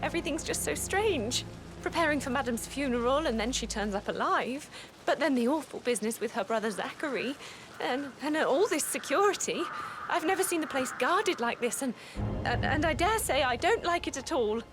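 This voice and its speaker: A woman's voice: high-pitched, lively intonation, moderate pace, music in the background. An actress playing an anxious, deferential English housemaid in a game, flustered and confiding as she stammers. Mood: anxious, relieved.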